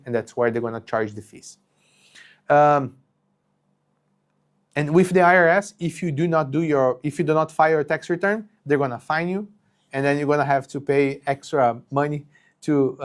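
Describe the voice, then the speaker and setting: Brief: man in his thirties, first language Portuguese, live Q&A host, chatty, lots of "okay?" and restarts, lapel mic in a studio. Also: fairly high pitch. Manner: conversational, many fillers and restarts, checks in with "okay?". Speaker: company co-founder answering live questions. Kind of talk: live Q&A explaining business rules. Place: home studio with shelves and green lighting.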